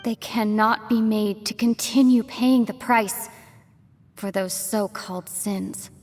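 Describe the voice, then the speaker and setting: A woman playing a resolute, regal young commander who speaks formally and gravely in a dramatic video game. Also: mid-range voice, fairly even intonation, slow pace, a little mellow. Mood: solemn resolve. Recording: long reverb, music behind.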